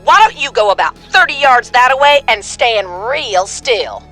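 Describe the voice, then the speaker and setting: A woman's voice: high-pitched, animated, normal speed, a bit bright, soft background music. An actress voicing a brash, taunting female siege tank operator in a video game.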